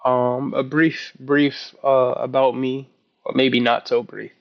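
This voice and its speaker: Man, early 30s, casual vlog journal, halting and rambling, little pitch movement, slightly dull lo-fi recording. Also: flat delivery, vocal fry. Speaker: vlogger. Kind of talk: casual, personal video journal.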